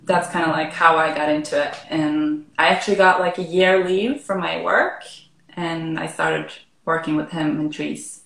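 A woman from Norway chatting casually as an interview guest, relaxed and full of "like" and "um", heard over a remote call. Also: low voice, moderate pace, gravelly, roomy sound. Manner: relaxed, frequent "like" and "um". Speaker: arborist guest.

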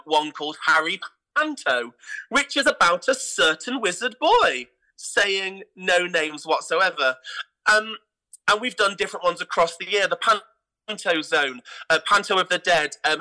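A man's voice: high-pitched, animated, measured pace, sharp tone, poor audio. A British man speaking enthusiastically and conversationally as a guest in an interview about his work. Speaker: guest, artistic director of a pantomime theatre company.

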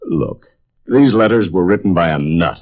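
Blunt, hard-boiled football coach, tinny radio. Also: male voice, deep voice, lively intonation, moderate pace. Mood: sardonic. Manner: blunt, sardonic. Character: gruff, hard-boiled, sarcastic male college football coach. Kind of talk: 1950s radio drama acting. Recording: tinny, band-limited vintage radio recording.

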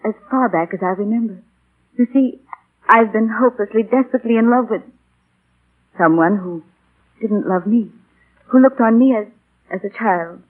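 A woman's voice: fairly high pitch, normal speed, long pauses, lightly acted. An actress plays a proud, tender young woman who is hopelessly in love. Her delivery is wistful and theatrical, in the manner of 1940s radio acting. The sound is that of a thin, narrow old broadcast. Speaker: radio actor. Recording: thin, band-limited 1940 radio broadcast.

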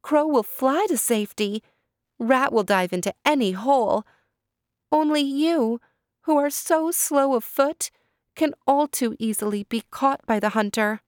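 A woman reading a storybook who voices a gentle female deer in a pleading, anxious way. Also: high-pitched, expressive, measured pace, no room sound, studio quality, voice pushed up.

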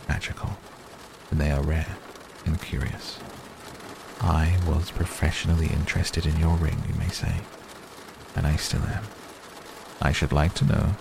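A man voicing a grave old wizard in a story reading, watchful and quietly commanding, measured and thoughtful, on a noisy recording. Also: extremely low pitch, creaky, heavily acted.

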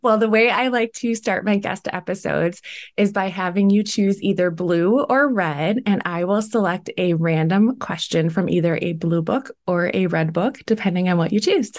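A woman's voice: medium pitch, normal speed, no echo. A woman with a Wisconsin accent hosting a podcast interview, bubbly and laughing often. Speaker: podcast host.

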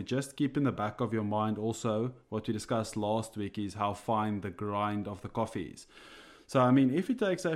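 Lowish Afrikaans-accented man, halting. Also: a little mellow. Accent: Afrikaans-accented English. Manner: halting, many restarts and chuckles. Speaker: coffee roaster co-host. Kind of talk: casual podcast chat explaining coffee. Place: office.

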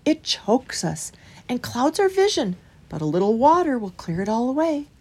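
A woman's voice: a little high, big pitch swings, moderate pace, a little mellow, husky, voice pushed up. A woman voicing a wise, friendly male badger who speaks earnestly in a children's story. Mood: explaining.

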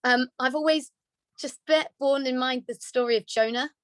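A woman's voice: high voice, normal speed, no room sound. A woman on a podcast speaking in an earnest, encouraging way with many fillers. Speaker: podcast guest. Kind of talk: casual podcast conversation.

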